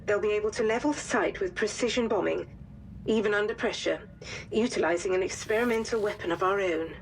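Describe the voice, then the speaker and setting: An actress playing a resolute female wartime intelligence officer, delivering a formal, measured mission briefing in a video game. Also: mid-range voice, close to an everyday voice, rough recording. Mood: composed, serious. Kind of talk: scripted mission briefing narration. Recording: faint background noise.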